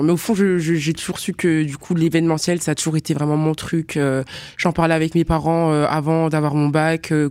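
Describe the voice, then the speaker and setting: A woman's voice: deep voice, darkish tone, dry recording, clean studio sound. A young woman student answering interview questions in a casual, hesitant way, full of fillers and restarts. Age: young adult.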